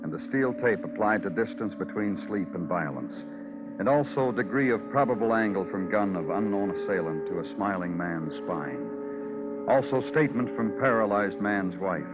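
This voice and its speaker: An actor playing a brooding, poetic police detective, narrating a vintage radio crime drama in a solemn North American voice. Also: male voice, lowish voice, animated, moderate pace, lightly acted. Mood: grim, weary. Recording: muffled vintage radio recording, loud music.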